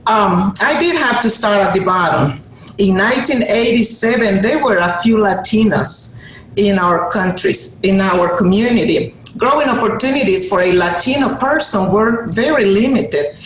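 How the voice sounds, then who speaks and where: A woman's voice: medium pitch, normal speed. A middle-aged woman from Honduras, a native Spanish speaker with a Honduran Spanish accent, giving an earnest motivational speech to an audience, with emphatic repeated phrases. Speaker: guest speaker. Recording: roomy hall sound with faint noise.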